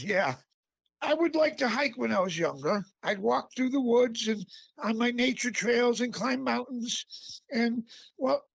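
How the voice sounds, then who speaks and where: A middle-aged man with a raspy voice, a guest author talking casually in an interview, halting often with fillers. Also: very high voice, no echo, highs cut off. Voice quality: raspy, gravelly.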